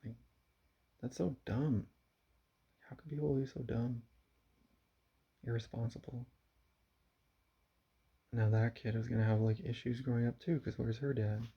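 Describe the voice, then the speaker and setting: A young man talks softly to his camera at home, his voice gravelly and a little husky. His delivery is halting and subdued, broken by sighs. The dull, lo-fi camera audio keeps it flat and close. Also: medium pitch. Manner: quiet, subdued, halting and sighing. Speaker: vlogger. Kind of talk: confessional vlog to camera. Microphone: camera mic.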